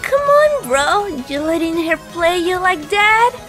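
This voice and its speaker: A woman's voice: extremely high pitch, very animated, unhurried, fairly bright tone, soft background music, full character voice. A woman voicing a naive, devoted maid girl with an innocent, blunt manner in a parody cartoon. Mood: goading. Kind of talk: animated parody cartoon acting.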